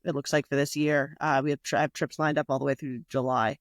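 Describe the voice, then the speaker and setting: A woman's voice: low voice, flat delivery, fast talker, no room sound, studio quality. A woman guest in a casual podcast interview, talking in a rambling way with many fillers and restarts. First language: not Italian. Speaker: magazine publisher and guest.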